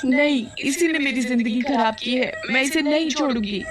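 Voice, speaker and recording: A woman's voice: sits high, normal speed, pitched above the performer's own voice, natural voice. A woman voicing a greedy, hot-tempered wife in a melodramatic cartoon story, whiny and dramatic. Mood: angry. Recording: loud music behind the voice.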